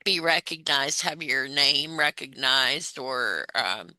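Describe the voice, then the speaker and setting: A woman hosting a conversational interview, phrasing her questions in a halting, hesitant way. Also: extremely low pitch, pushed and bright, dry recording. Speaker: interviewer and host.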